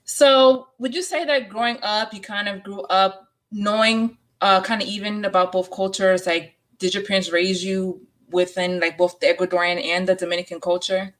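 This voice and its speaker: A woman's voice: mid-range voice, fairly even intonation, normal speed, few pauses, a bit bright. A woman interviewing a guest in a casual chat, asking curious follow-up questions. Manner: curious, prompting with short replies.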